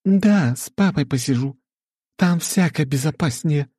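Man voicing a high-pitched bossy demoness wife. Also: a little slow, a little mellow, husky, no echo, studio quality. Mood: urgent, insistent. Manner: emphatic, scolding, commanding. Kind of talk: audiobook character acting.

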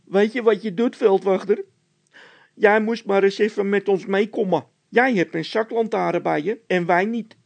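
A man's voice: high voice, steady tone, normal speed, gravelly, pitched above the performer's own voice. A man voicing a whiny, jittery workman in a children's audiobook, pushy, easily frightened and full of exclamations. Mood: goading. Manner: insistent, exclamatory.

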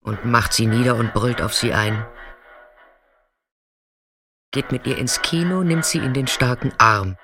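A man performing scripted literary narration in a deliberate, dramatic way, sometimes dropping to a hushed near-whisper. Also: medium pitch, music in the background.

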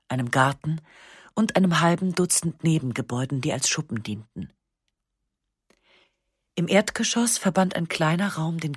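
Woman narrator, low husky voice, dry close studio sound, measured reflective literary reading. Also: no room sound. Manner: calm, measured, reflective first-person narration. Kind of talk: scripted literary reading for radio. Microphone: close studio mic.

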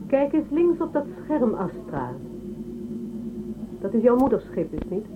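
An actress playing a courteous, probing imperial prosecutor in a radio drama, polite and coaxing in a controlled way. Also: female voice, fairly high pitch, sing-song, unhurried. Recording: tinny phone-like sound with clear noise.

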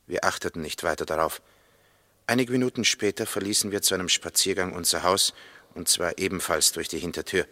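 A no-nonsense engineer on the witness stand, old 60s radio play, really deep, crisp bright tone. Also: male voice, no echo. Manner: sober, concise, factual. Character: matter-of-fact male engineer, neighbour testifying as witness. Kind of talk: 1960s radio drama acting.

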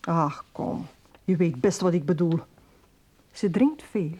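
A woman's voice: on the low side, takes it slowly, warm tone, breathy edge. A woman acting in a Flemish radio drama as a worried, insistent young bride talking to her father. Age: young adult.

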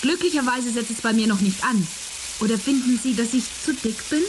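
A woman's voice: a little high, fairly quick, background noise, lightly acted. A young woman playing a cool, flippant student in a radio play, talking with nonchalance. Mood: nonchalant. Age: young adult. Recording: loud sizzling frying pan behind. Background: food frying.

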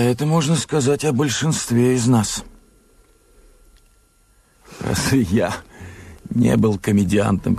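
An actor in a radio drama playing a bitter, self-mocking poet and would-be rebel, speaking in a reflective, confiding way. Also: male voice, fairly low pitch, vocal fry, a little mellow, breathy edge, no room sound, heavily acted. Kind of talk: Soviet radio drama acting.

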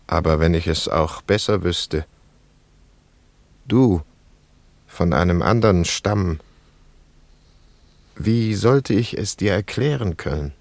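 Very deep, brooding mourner. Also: male voice, creaky, no echo, exaggerated voice. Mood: searching, pained. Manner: measured, solemn, questioning. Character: brooding, searching mourner hunting a child's murderer. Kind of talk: poetic radio play acting.

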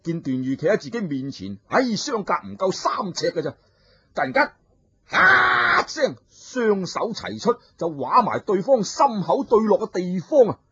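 Husky guy telling a kung fu story, dry close sound, lo-fi dull. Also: sits high, measured pace, dry recording. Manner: dramatic, animated narration. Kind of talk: traditional storytelling narration. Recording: dull lo-fi recording.

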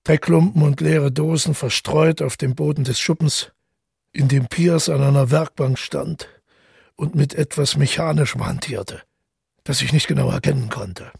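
A man playing a detached killer who calmly recounts his deed in a measured, reflective radio drama monologue, with a gravelly voice. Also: a little high, no echo, clean studio sound, heavily acted. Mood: cold, reflective. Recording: music playing under the voice.

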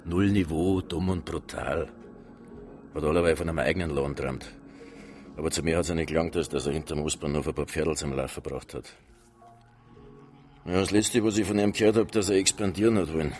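An actor playing a slippery, self-important nightclub manager in a radio drama, cocky and evasive, with a Bavarian accent. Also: male voice, a bass voice, fairly quick, a little mellow, soft background music. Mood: scornful. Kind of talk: naturalistic radio drama acting.

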